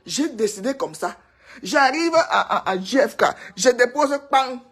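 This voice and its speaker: A woman's voice: on the high side, animated, unhurried, husky. A woman from Cameroon with a Cameroonian French accent, talking to her viewers in a casual, emphatic gossip monologue and repeating herself for effect. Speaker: vlogger.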